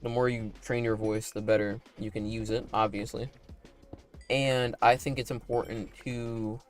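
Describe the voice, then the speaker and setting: A man chatting casually on a livestream while playing a game, with a slightly raspy, deepened broadcast voice and a rambling manner full of likes and tag questions. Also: level tone, normal speed, soft background music. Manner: talking in a put-on broadcast voice. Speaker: animator and streamer.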